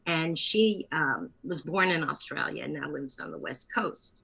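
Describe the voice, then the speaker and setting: Lower-pitched woman in a halting interview. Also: animated, vocal fry, pushed and bright, husky, rough recording. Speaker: interviewee, theater producer and playwright. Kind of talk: conversational interview, partly reading from notes.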